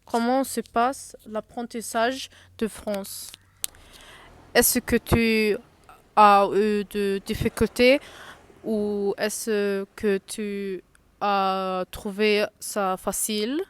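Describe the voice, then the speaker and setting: A woman interviewing her young cousin, reading prepared questions in a slow, halting way and sometimes restarting words. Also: medium pitch, level tone, smooth. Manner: slow, halting delivery with restarts mid-word.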